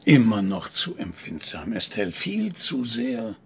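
An actor playing a menacing, manipulative grandfather in a radio crime drama, speaking deliberately, coaxing and then commanding, with a rough, husky voice. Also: on the low side, very animated, heavily acted. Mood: fanatical, stern. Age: older. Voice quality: husky, gravelly. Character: menacing, manipulative grandfather, a cold zealot preaching purity.

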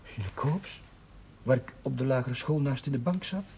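Dark-voiced, earnest chaplain played by an actor. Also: male voice, mid-range voice, moderate pace, close to an everyday voice. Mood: surprised, tender. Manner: gentle, serious, concerned. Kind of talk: naturalistic television drama acting. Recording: noisy old television soundtrack.

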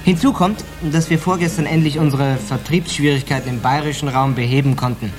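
Actor as a formal, higher-voiced male product manager. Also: fairly even intonation, brisk, over music, close to an everyday voice. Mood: dutiful, formal. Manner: formal, report-like. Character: dutiful male beer product manager reporting sales. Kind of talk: radio drama dialogue.

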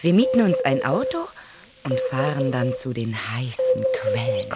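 A woman's voice: low-pitched, wildly swooping pitch, unhurried, heavily acted. An actress playing a woman in a love triangle in a radio drama. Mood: matter-of-fact. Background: music.

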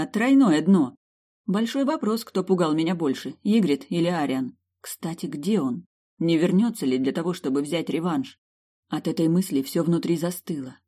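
Audiobook narrator reading a fantasy novel, first person, expressive storytelling, medium pitch.

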